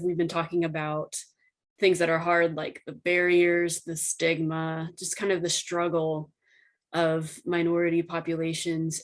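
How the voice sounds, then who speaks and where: A woman moderating a therapist panel, speaking in an encouraging, measured way. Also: fairly low pitch.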